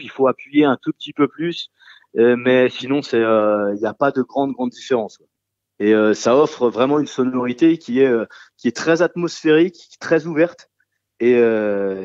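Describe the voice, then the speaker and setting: A man's voice: mid-range voice, moderate pace, a little mellow, no room sound, lo-fi sound. A man who sings and plays guitar in a rock trio, answering radio interview questions in a casual, hesitant way with many fillers and restarts. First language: French.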